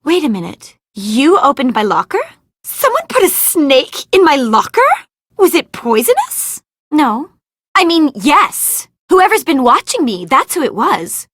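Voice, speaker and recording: A woman's voice: sits high, big pitch swings, measured pace, a bit bright, no echo, clean studio sound, heavily acted. A woman voicing a vain, self-absorbed young film starlet in a video game, whiny, haughty and melodramatic. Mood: indignant, uneasy. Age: young adult.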